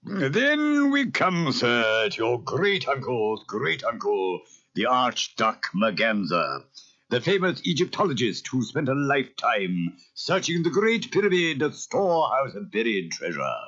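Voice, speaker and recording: An actor playing a gloomy, servile old butler, droning formally in a cartoon audio story. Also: male voice, medium pitch, sing-song, a little slow, a bit bright. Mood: reverent. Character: gloomy, deferential old vulture butler, proud of evil. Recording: muffled cassette transfer.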